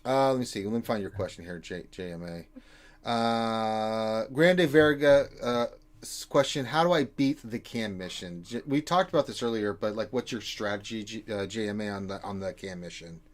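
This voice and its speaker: A man hosting a casual live Q&A, reading viewer questions aloud and joking with his co-hosts between "uh" fillers. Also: lowish voice. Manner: joking, quick asides, frequent "uh" fillers.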